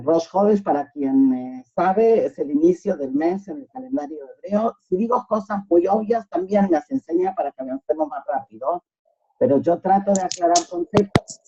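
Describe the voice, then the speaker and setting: A woman's voice: on the low side, warm tone, husky. A woman leading an informal online group class, often checking with her listeners that they follow. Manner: frequent checking questions. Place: online video call. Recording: dull lo-fi video-call audio.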